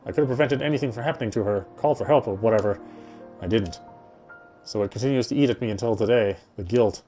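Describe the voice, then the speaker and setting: Male reader for game narration: mellow, fast-paced, reflective and dramatic, with music underneath. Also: medium pitch. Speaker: player reading a visual novel aloud. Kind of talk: dramatic reading of first-person game narration. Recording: music behind the voice.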